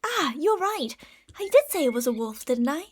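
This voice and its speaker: A woman playing a possessive, tender but deceitful lamia in an ASMR roleplay, speaking softly and formally, at times slipping into whispers. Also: high-pitched, very animated, moderate pace. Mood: evasive, ominous. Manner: soft, formal, soothing speech with breathy whispers.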